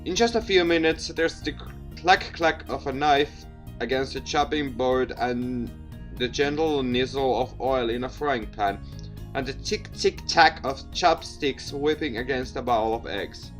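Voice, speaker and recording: Theatrical let's-play narrator, unhurried pace. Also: medium pitch, soft background music. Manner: stumbles over words and repeats phrases while reading. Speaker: video game streamer reading a game aloud.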